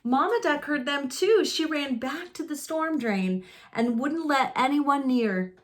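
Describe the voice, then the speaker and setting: A woman reading a children's storybook aloud expressively and deliberately, with dramatic pauses. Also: sits high. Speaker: storybook reader.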